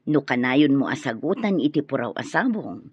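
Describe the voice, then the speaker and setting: A man's voice: mid-range voice, sing-song, moderate pace, a little mellow, breathy edge, no echo. A man playing a scheming, amused boss figure who hands out advice in a radio drama. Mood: sly, amused. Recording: muffled, lo-fi radio drama audio.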